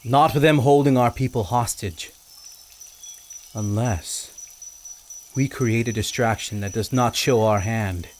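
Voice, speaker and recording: Brief: man voicing a noble, deliberate island king, mid-pitched, measured. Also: slight background noise, close to an everyday voice. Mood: grave. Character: noble island ruler, grave and strategic. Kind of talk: old-time radio drama acting.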